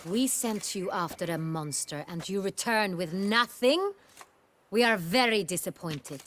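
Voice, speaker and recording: An actress playing a poised, imperious duchess in a video game, speaking formally. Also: female voice, mid-range voice, animated, unhurried, fairly bright tone. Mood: disappointed.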